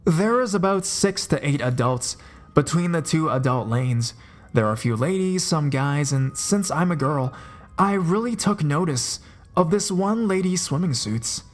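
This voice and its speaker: A man telling scary stories in a scripted narration, dropping his voice low and slowing down for suspense. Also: lively intonation. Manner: dramatic, drops low and slows for suspense. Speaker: horror story narrator. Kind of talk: scripted horror story narration. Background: faint music.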